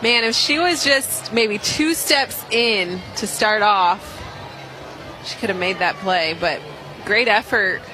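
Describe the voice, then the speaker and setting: Female softball color commentator over crowd noise. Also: medium pitch, slow. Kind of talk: live softball analysis, conversational. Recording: live stadium broadcast, crowd behind. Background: crowd noise and stadium music.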